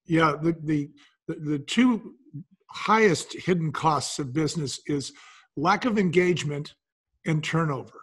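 A man's voice: a little high, unhurried, fairly bright tone, husky. A man talking conversationally as an interview guest, explaining things in an illustrative way. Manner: explanatory, acts out imagined quotes. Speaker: podcast guest, business founder.

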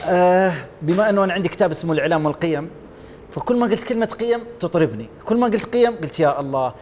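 Fast-talking man judging on TV. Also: sits high, lively intonation, a little mellow, long reverb tail, slight background noise. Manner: enthusiastic, lists points and praises. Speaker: judge on a talent show panel. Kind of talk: evaluating a contestant on live TV. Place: TV studio set. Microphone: lapel mic.

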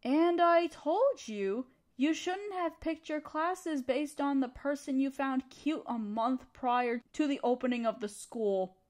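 Female college study-partner crush, played by a woman for intimate audio roleplay: high-pitched, conversational, teasing yet supportive, taking her time. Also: slow pace, hardly any pauses, a little mellow. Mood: playful, teasing. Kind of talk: intimate scripted roleplay acting addressed to the listener.

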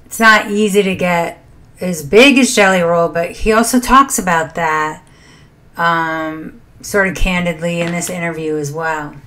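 A middle-aged woman hosting a reaction video, chatting to camera in a low, quiet voice from a small home room. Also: measured pace. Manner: soft-spoken, laughs between thoughts. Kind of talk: casual chat to camera.

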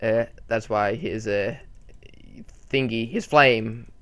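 A man's voice: fairly low pitch, slow pace, fairly bright tone, clean tone. A man hosting his channel, giving a casual, rambling update full of fillers and restarts on a desktop mic. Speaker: channel host.

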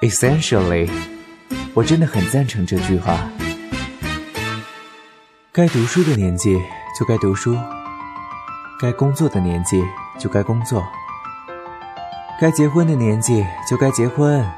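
A man playing a melodramatic, clingy, self-pitying young boyfriend in a comic audio drama, with cheeky, mock-solemn and drawn-out delivery. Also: medium pitch, expressive, music in the background, close to an everyday voice.

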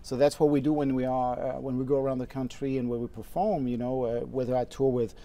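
Relaxed French-accented man in a studio. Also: mid-range voice, fast talker. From France. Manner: relaxed, easygoing, laughs often. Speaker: guest musician. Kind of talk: casual interview chat. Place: TV studio set.

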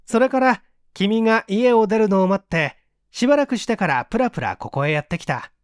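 A man's voice: high voice, animated, brisk, smooth, dry recording, clean studio sound. A man voicing a smug, teasing amateur detective who boastfully explains his tricks in a mystery audiobook. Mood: smug, explanatory. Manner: taunting, self-satisfied, explanatory. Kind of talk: dramatic audiobook reading of a mystery story.

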